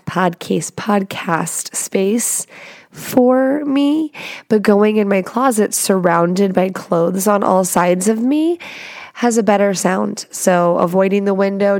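A woman's voice: mid-range voice, gravelly, dry recording, studio quality. A woman hosting a podcast alone, talking bluntly and profanely, stuffy and nasal from a cold, recorded in a small closet full of clothes. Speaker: podcast host. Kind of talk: casual solo podcast rant.

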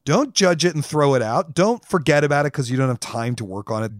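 A man's voice: fairly high pitch, lively intonation, fairly quick, no echo, clean studio sound. An American man co-hosting a podcast, chatting in a casual, musing, informal way. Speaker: podcast co-host. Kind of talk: casual conversational discussion.

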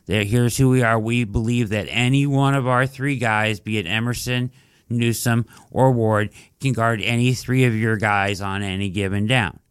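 A man's voice: medium pitch, steady tone, moderate pace, smooth, dry recording, studio quality. A man hosting a casual sports podcast who is losing his voice, talking through his analysis with lots of "like" and "right?". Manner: conversational, fillers like "like" and "right?". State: losing his voice, dry throat. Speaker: podcast host.

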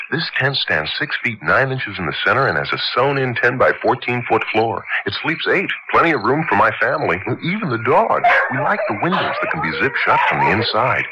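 A man delivering a testimonial in a 1970s radio advertisement. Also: lowish voice. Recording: noisy vintage radio broadcast.

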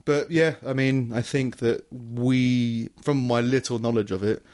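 An English man co-hosts a loose, casual chat. He has a mid-pitched voice and talks in a jokey, giggly way, swearing freely as he banters. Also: fairly even intonation, unhurried, darkish tone. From England. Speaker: co-host of a chat show.

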